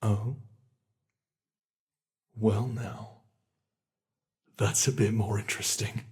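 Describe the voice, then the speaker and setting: A man voicing a sadistic male monster in an ASMR horror roleplay, talking in a slow, taunting way that relishes cruelty. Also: fairly low pitch, little pitch movement, darkish tone. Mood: playful, sadistic.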